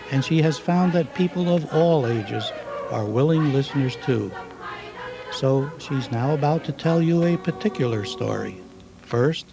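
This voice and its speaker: A man's voice: mid-range voice, low-quality recording. A man reading a scripted introduction to a dramatic storytelling performance as its narrator. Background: music.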